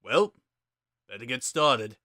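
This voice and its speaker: A man voicing a laid-back, wry skeleton older brother in a dramatic story reading, casual and deadpan. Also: mid-range voice, takes it slowly, dry recording, clean studio sound.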